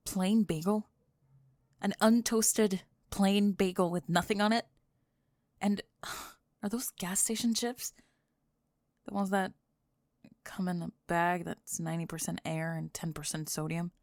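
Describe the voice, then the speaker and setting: Woman acting as a low-voiced, prickly goth girl. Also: dry recording, clean studio sound. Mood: mocking, exasperated. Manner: sarcastic, defensive, halting and stammering when flustered. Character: prickly, flustered goth girl student hiding a crush. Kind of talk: ASMR roleplay acting, one-sided dialogue.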